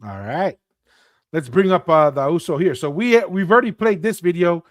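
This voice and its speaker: A man co-hosting a casual chat show, animated and laughing easily, repeating phrases for emphasis. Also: high voice, moderate pace, dry recording, studio quality. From Tonga. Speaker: podcast co-host.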